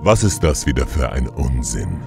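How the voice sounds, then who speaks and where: A man's voice: a bass voice, very animated, moderate pace, gravelly, over music, full character voice. A man playing a sardonic, menacing god of the underworld in an audio drama, with dry sarcasm. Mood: dry, sarcastic. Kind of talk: German audio drama acting.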